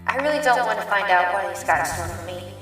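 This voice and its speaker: A woman's voice: medium pitch, lively intonation, fast talker, a bit bright, lightly acted. A woman playing an earnest, anxious but feisty young rabbit defense lawyer in an audio drama. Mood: wary. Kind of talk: audio drama acting with inner monologue. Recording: roomy, distant mic, faint music.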